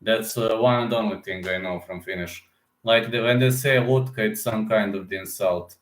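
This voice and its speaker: A man's voice: fairly low pitch, normal speed. Rambling man, echoey room. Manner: rambling, repeats words, restarts sentences often. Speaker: MMA fighter and betting-picks streamer. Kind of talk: casual live-stream chat reading comments.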